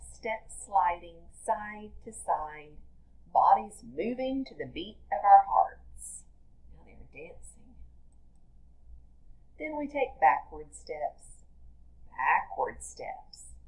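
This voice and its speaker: A woman teacher reading a picture book aloud to young children in a deliberate, expressive storytelling manner. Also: medium pitch, poor audio.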